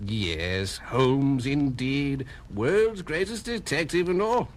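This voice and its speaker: Jovial, swooping British police chief. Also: male voice, medium pitch, lively intonation, slow pace. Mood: amused disbelief. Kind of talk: 1970s comic-book record acting.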